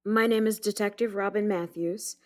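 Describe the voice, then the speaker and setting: A woman's voice: medium pitch, steady tone, normal speed, darkish tone, close to an everyday voice. A woman playing a matter-of-fact homicide detective testifying in a scripted courtroom drama, plain and official in delivery.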